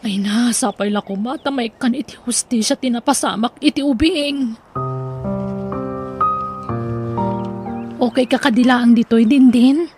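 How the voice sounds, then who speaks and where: An actress playing a kind, sympathetic young housemaid in a radio drama, husky, with an Ilocano Filipino accent. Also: female voice, fairly high pitch, moderate pace, warm tone, faint music behind, natural voice. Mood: concerned.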